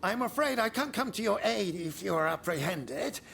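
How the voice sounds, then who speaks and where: A man voicing an excitable, eccentric inventor in a video game, flustered and theatrical in his delivery. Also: high-pitched, moderate pace, creaky, slight background noise, full character voice. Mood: eager, instructing. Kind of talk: video game cutscene acting.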